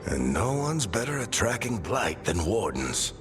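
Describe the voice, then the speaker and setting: A man's voice: on the low side, big pitch swings, a little slow, music in the background. An actor playing a wry, fatherly older mentor in a video game, speaking in a knowing, reassuring way. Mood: matter-of-fact. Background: game score.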